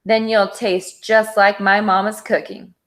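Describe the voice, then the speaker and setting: A woman's voice: medium pitch, flat delivery, a little slow, fairly bright tone. A woman voicing a sly, hungry, sentimental big bad wolf in a children's storybook. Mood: sly, menacing.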